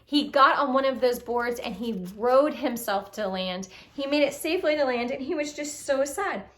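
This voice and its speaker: High-voiced woman telling a children's story. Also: moderate pace. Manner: expressive, talks directly to young listeners, exclaiming and asking questions. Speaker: storyteller, puppet show reader. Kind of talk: animated children's storytelling with puppets.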